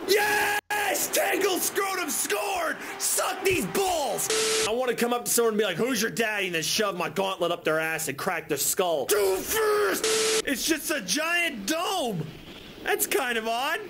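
A young man giving loud, crude comedy commentary over video games, yelling and joking at the screen, recorded on a headset in a bedroom setup with game music behind him. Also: extremely high pitch, big pitch swings, unhurried. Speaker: gaming YouTuber.

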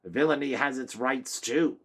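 A feeble, roupy old man with a high, thin voice that wheedles and whines. He wheezes and pleads, sly and self-pitying, a storybook villain grasping for favor. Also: a little slow, breathy edge. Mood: wheedling, self-pitying.

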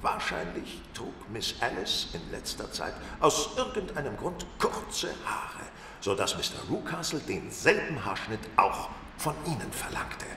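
An actor playing a keen, self-assured detective in a German radio play, speaking in deliberate, formal, precise sentences. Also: male voice, medium pitch, sing-song, pushed and bright, exaggerated voice. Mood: assured, explanatory. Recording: roomy, distant, echoing, faint noise.

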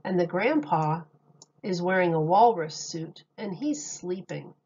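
A woman reading a picture book aloud as a teacher, with simple, deliberate phrasing and questions for young listeners. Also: lowish voice, darkish tone, breathy edge, lo-fi sound. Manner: simple, deliberate phrasing addressed to small children.

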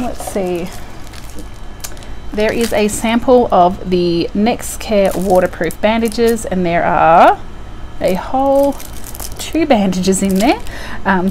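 A young woman with a hoarse, croaky voice from a cold, chatting casually to the camera at home with lots of ums. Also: medium pitch, a little mellow, slight background noise, poor audio. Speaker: couponing vlogger. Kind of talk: casual unboxing vlog. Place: indoors at home by a window. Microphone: lapel mic.

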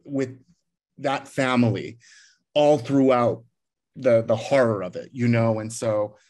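A man's voice: medium pitch, takes it slowly, darkish tone. Reflective middle-aged man on a video call. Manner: reflective, many restarts and "you know"s. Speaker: theater director. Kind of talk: casual video call conversation. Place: living room at home.

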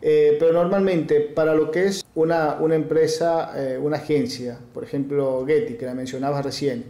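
Mellow Rioplatense podcast host, roomy sound. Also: male voice, a little high, steady tone, moderate pace. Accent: Rioplatense Spanish. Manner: roundabout, rephrases questions several ways. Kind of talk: conversational interviewing.

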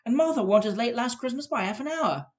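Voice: medium pitch, animated, a little fast, pitched above the performer's own voice, close to an everyday voice. A reader voicing a poor, bustling mother, fussing over her family in homely, fretful speech.

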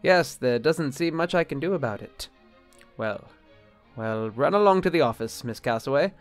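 An actor playing an anxious, exasperated bishop in an audio drama, formal and hesitant in manner. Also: male voice, mid-range voice, lively intonation, moderate pace, faint music behind, natural voice. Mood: harried. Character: anxious, exasperated, skeptical married male bishop. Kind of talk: radio-play style drama acting.

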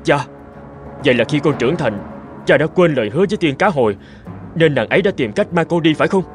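Man as a desperate young husband, highly animated. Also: fast talker, faint music behind, close to an everyday voice. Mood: anxious. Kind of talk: animated fairy-tale dialogue.

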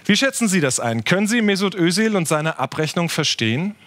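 A news anchor speaks from the studio at a quick clip, his pitch sitting a touch above the usual. He asks his questions briskly and pushes for clear answers. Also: big pitch swings, a bit bright.

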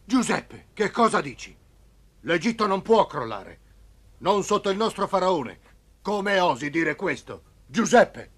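A man playing a burly, stern palace guard captain in a cartoon, speaking in a gruff, admonishing way. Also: sits high, sing-song, unhurried, heavily acted. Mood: alarmed. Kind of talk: dubbed cartoon acting.

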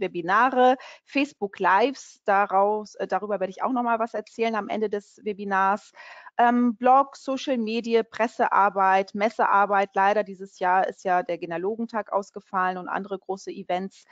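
A woman's voice: medium pitch, dry recording, muffled recording. A woman from Portugal hosting an online webinar, chatting friendly over slides with many fillers and restarts. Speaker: webinar host, company country manager.

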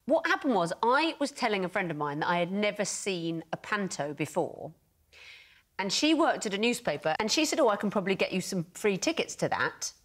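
A woman's voice: medium pitch, very animated, a little fast. A middle-aged woman telling comic stories as a panel show guest in a television studio. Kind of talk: comic storytelling.

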